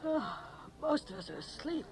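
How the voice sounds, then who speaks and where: Weary, fearful explorer with swooping intonation. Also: female voice, high voice, very animated, moderate pace, a crowd in the background, low-quality recording. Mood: uneasy. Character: weary, fearful member of stranded alien band. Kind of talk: 1980s radio drama acting.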